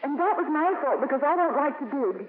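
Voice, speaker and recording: An actress playing an earnest, gentle nun in a 1950s radio drama, hopeful and a little halting. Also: female voice, high-pitched, expressive. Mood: apologetic, hopeful. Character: earnest, hopeful nun raising money for her cause. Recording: old, lo-fi, hissy radio broadcast.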